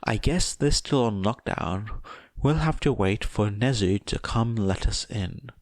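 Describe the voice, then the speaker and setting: A man's voice: on the low side, lively intonation, measured pace, dry recording, clean studio sound. A man voicing a plain, matter-of-fact male bus driver in a story. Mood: practical.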